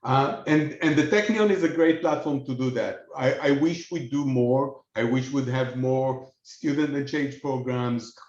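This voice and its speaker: A man's voice: mid-range voice, a little slow, few pauses, a little mellow. A man speaking earnestly on a panel over a video call, restarting words and checking in with "right?". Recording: echoey, dull video call audio.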